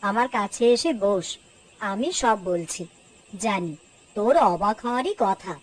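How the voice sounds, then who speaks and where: A woman voicing a caring, gently bossy older mother-in-law in a children's cartoon. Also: medium pitch, unhurried, lightly acted, low-quality recording. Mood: calm explaining. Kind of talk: Bengali children's cartoon voice acting. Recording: background music under the voice.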